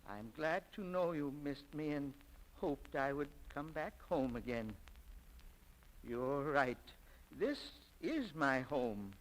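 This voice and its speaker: An actor playing a kindly, sentimental older shop owner in a 1940s radio drama, speaking haltingly and with feeling. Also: male voice, fairly high pitch, expressive, low-quality recording. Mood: moved, grateful. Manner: halting, emotional, chuckling speech. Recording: vintage 1940s radio recording.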